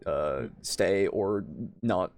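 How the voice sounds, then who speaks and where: A man's voice: low voice, drawn-out words, darkish tone. A man hosting a podcast in casual chat, with a playful, jokey manner. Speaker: podcast host. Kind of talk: casual chat, reading out comments.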